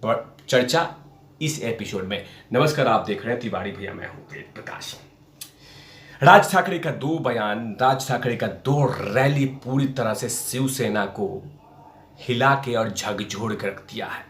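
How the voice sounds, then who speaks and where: A man's voice: mid-range voice, lively intonation, a little slow, fairly bright tone, a little hiss or hum. A man hosting a political news commentary, speaking emphatically and repeating phrases for effect. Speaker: host of a political commentary show. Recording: roomy, echoing sound.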